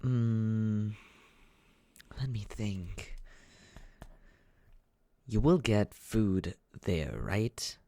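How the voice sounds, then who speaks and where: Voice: medium pitch, very slow, long pauses, mellow, dry recording. An actor voicing a teasing but caring young companion in an intimate, conversational ASMR comfort roleplay, hesitant and reassuring. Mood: thoughtful.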